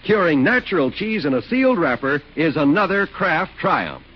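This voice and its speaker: A man announcing in an emphatic, folksy 1940s radio sales style with a husky voice. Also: fairly high pitch, very animated, normal speed. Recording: muffled 1940s radio recording.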